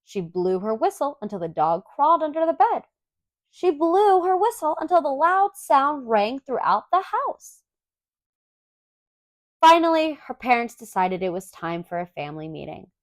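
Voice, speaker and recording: A woman reads a children's story aloud in an expressive, clearly enunciated storytelling manner. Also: high voice, moderate pace, no echo. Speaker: storybook reader.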